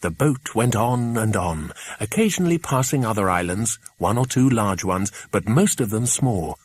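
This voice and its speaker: A man reading a children's adventure audiobook, an expressive scripted narration. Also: on the low side, normal speed.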